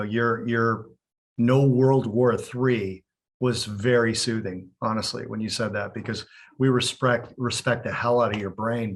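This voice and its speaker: A man co-hosting a casual interview podcast, asking blunt, provocative questions. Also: lowish voice. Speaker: podcast co-host.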